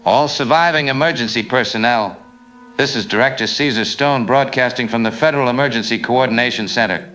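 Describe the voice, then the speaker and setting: A man playing a grave government official making a formal, measured emergency announcement in a radio drama. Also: medium pitch, fairly bright tone, faint music behind, lightly acted. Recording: noisy emergency radio transmission.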